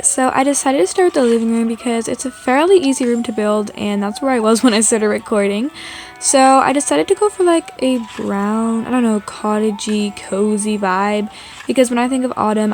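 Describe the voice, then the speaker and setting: A woman's voice: on the high side, moderate pace, a little mellow, faint music behind. A woman from Canada doing a casual, chatty voice-over as a video host, in informal speech peppered with "like". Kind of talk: casual gaming voice-over narration.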